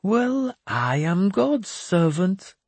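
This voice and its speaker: A man voicing a mad, sly, self-proclaimed bishop who talks in a high, slow, sing-song preaching way. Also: big pitch swings, a little mellow, husky, no room sound, full character voice. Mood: scornful.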